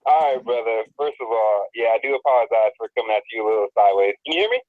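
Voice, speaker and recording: A man's voice: lowish voice, fast, no room sound. An American man calling in to a live show, talking casually with lots of restarts and hesitation. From United States. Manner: rambling, hesitant, many restarts. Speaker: caller. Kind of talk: casual call-in talk. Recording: tinny phone-line call.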